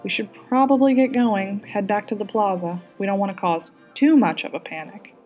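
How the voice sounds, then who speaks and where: Storybook stateswoman, read by a female narrator: sincere, earnest, medium pitch. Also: normal speed, a little mellow, soft background music, close to an everyday voice. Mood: reluctant. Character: earnest, warm-hearted woman national leader. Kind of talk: audiobook character dialogue.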